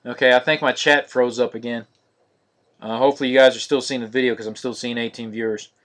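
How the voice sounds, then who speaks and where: Monotonous man calling out cards. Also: mid-range voice, fast. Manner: monotonous, steady listing of cards. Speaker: card break host. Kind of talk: live-streamed card break commentary.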